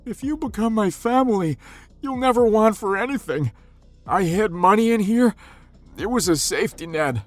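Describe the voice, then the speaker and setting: A man's voice: high voice, expressive, moderate pace, dry recording, faint music behind, voice pushed up. A man voicing a living, grieving haunted house that speaks mournfully and coldly insists. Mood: coaxing. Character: lonely grieving haunted house, male, turns menacing.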